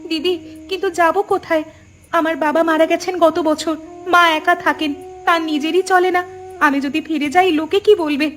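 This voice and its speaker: A woman's voice: very high voice, fairly even intonation, fairly quick, slight background noise. A woman voicing a timid, anxious younger sister-in-law in a village cartoon, fretful and full of questions. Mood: worried doubt. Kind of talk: cartoon voice acting.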